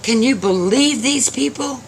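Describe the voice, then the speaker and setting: A man voicing a grumpy, irritable old man in a told story, scolding and exclaiming with drawn-out words. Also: very high-pitched, gravelly, a little hiss or hum, voice pushed up, exaggerated voice. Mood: indignant. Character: grumpy irritable old man, shouting at callers at his door.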